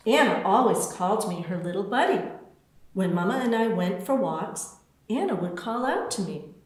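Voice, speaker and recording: A woman author reading her children's picture book aloud in an expressive, deliberate storytelling way, with spaced phrases. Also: mid-range voice. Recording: very echoey room.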